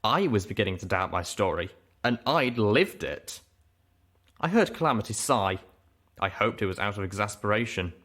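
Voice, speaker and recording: A man reading a story aloud as an expressive first-person narrator. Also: fairly low pitch, normal speed. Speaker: audiobook reader.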